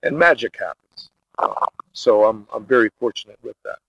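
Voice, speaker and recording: A man's voice: mid-range voice, normal speed, vocal fry, fairly bright tone, dry recording. Hesitant Brazilian-born man, dry and dull. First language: Brazilian Portuguese. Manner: hesitant, many filler uhs. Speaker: podcast guest. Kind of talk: casual interview talk.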